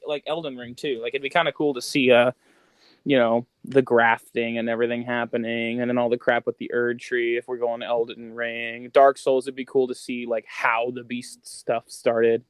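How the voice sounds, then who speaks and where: Male podcast co-host, medium pitch, loose slangy thinking-aloud chat, very dry room. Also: dry recording. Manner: slangy, rambling, thinking out loud.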